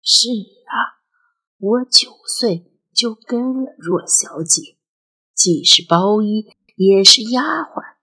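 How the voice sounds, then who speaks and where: Woman acting a husky, wistful old grandmother. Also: fairly high pitch, sing-song, slow pace, sharp tone, full character voice, poor audio. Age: older. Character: elderly grandmother, former opera dresser, wistful and superstitious. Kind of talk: Chinese audio drama dialogue.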